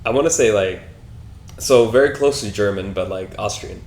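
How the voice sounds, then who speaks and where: A man's voice: on the low side, normal speed, a little mellow, a little hiss or hum. A young man in his twenties, a podcast guest, chatting in an easygoing, curious way with casual slang. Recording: echoey room.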